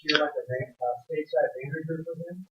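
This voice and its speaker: A man's voice: on the high side, fairly even intonation, a little fast, husky. A man chatting casually as a club member from the audience of a meeting. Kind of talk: casual chat. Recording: dull, muffled room recording.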